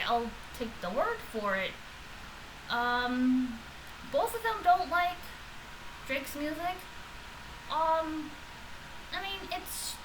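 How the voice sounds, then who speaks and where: Rambling woman vlogger, noisy recording. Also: high voice. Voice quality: loud voice. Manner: rambling, with many fillers and restarts. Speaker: vlogger reacting to podcast episodes. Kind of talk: casual unscripted reaction vlog.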